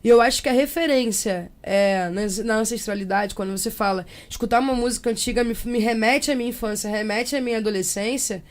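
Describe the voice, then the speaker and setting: Young Brazilian woman, higher-pitched, chatting expressively. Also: fast, few pauses. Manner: expressive, acts out imagined quotes, slangy. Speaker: interview guest, percussionist and samba singer. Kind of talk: casual conversational reflection.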